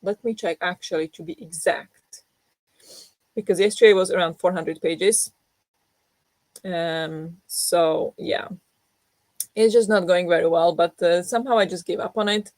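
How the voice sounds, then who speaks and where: A woman's voice: on the low side, unhurried, long pauses, a little mellow, no room sound. Groggy young woman in casual chat. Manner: rambling, self-deprecating, many fillers and restarts. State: just woken up, groggy and deep-voiced. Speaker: book vlogger hosting a reading sprint.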